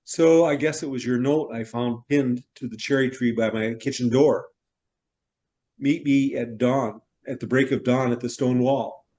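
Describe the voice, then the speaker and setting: A man acts out an effusive middle-aged novelist in a stage reading. He is theatrical and wordy, given to exclaiming, in a voice of middling pitch. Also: measured pace, mellow, natural voice. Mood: chatty. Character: effusive middle-aged male novelist, newly arrived from New York. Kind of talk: stage reader's theater acting.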